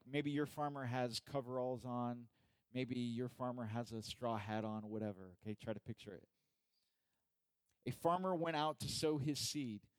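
A man preaching a sermon as pastor, who reads scripture slowly and deliberately and speaks to the congregation in a conversational way with joking asides. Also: medium pitch, no room sound, rough recording. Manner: slow, deliberate scripture reading.

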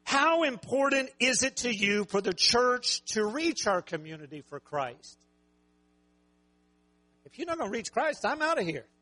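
A man preaching a sermon with a raspy voice, talking conversationally and joking with the congregation. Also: very high-pitched, big pitch swings, unhurried, pushed and bright. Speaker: pastor.

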